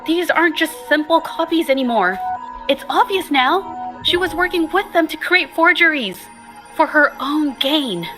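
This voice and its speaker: A woman voicing a shy, gentle young painter in a video game, speaking softly and hesitantly, often trailing off. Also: high voice, lively intonation, a little slow, music in the background. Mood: thoughtful. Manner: soft-spoken, hesitant, trailing off.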